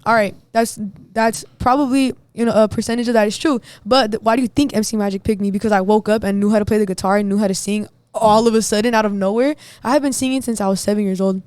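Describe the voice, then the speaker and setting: A young woman singer with a deep voice, talking bluntly and defiantly with a lot of slang in a casual podcast chat. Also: fairly even intonation, quick pace, hardly any pauses, a little mellow, clear, resonant tone, no echo. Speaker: singer and guest.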